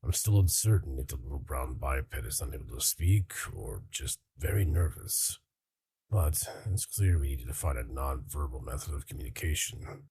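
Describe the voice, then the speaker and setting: A man playing a measured, caring starship crew member in a scripted sci-fi skit, speaking formally and earnestly. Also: extremely low pitch, expressive, mellow, husky, dry recording, exaggerated voice. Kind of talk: scripted sci-fi log narration in an audio skit.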